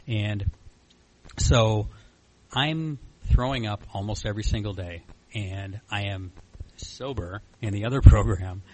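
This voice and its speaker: A man's voice: fairly low pitch, a little slow, darkish tone, slight background noise, low-quality recording. A man in his mid-forties giving informal personal testimony in a candid, self-deprecating, rambling storytelling way. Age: mid-40s. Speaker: recovery meeting speaker sharing a personal story.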